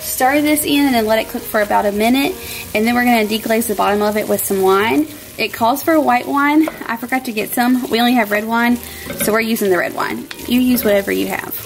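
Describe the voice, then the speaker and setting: A woman's voice: a little high, brisk, gravelly, slight background noise, poor audio. A woman in her thirties hosting a home cooking vlog in a chatty, informal way, narrating casually as she goes. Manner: chatty, informal, laughs easily. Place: home kitchen.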